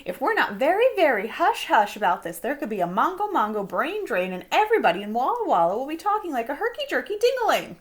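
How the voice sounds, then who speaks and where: School nurse, acted by a female storyteller: bossy, brisk, emphatic, high pitch, very animated storybook read. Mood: urgent. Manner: emphatic, rhyming slang.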